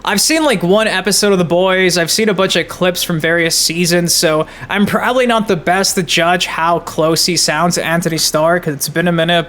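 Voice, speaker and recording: High-pitched, excitable guy. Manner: excitable, slangy, emphatic. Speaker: gaming channel host. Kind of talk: casual hyped gaming news commentary.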